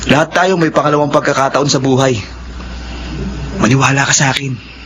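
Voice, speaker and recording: A man's voice: medium pitch, fairly even intonation, fairly quick, close to an everyday voice. Man acting an earnest young graduate over noisy ambience. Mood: earnest encouragement. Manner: earnest, reassuring. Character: earnest young male honor graduate, encouraging and determined. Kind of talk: Filipino radio drama acting. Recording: radio drama with clear background ambience noise.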